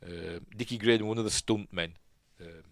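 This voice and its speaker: A man with a Yorkshire accent hosting a casual film commentary, rambling and musing aloud, often second-guessing himself and trailing off quietly. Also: lowish voice, normal speed, dry recording. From Yorkshire, England. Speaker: film review host.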